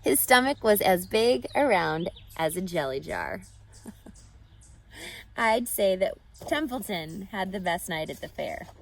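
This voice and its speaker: A woman reading a children's story aloud in an expressive narrating style. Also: mid-range voice, measured pace, vocal fry. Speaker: audiobook reader.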